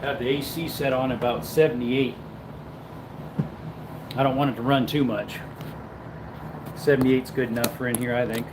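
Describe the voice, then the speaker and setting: A man's voice: mid-range voice, steady tone, normal speed, long pauses, noisy background. A man casually chatting to viewers while cooking at home, rambling in an easygoing way. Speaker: cooking livestream host. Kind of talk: casual live-stream chat while cooking.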